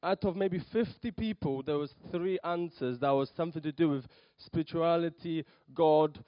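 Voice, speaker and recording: A man's voice: fairly high pitch, moderate pace, no echo, dull audio. A young man from Poland, brought up in London, giving a hesitant sermon in church with many ums and restarts. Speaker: lay preacher. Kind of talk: nervous church talk.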